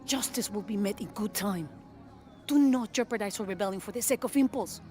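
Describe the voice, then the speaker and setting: A woman's voice: mid-range voice, fairly quick, warm tone, soft background music, lightly acted. A woman playing a commanding, dignified rebel queen in a video game, stern and composed. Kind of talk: video game dialogue acting.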